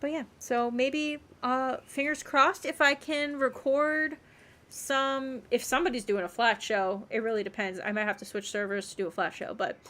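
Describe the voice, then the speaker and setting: A woman with a fairly high voice chats casually on a livestream. She rambles along in an animated way, repeating words for emphasis as she talks to her viewers. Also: moderate pace. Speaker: game streamer.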